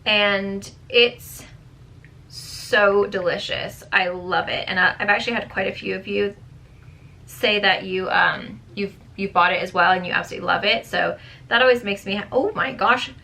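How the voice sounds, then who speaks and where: A woman talks casually to her camera about beauty products, rambling and leaning on fillers. Her voice is bright and a little sharp, but strained and on the edge of hoarseness. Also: medium pitch, fairly even intonation, normal speed. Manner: chatty, rambling, many fillers. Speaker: beauty vlogger.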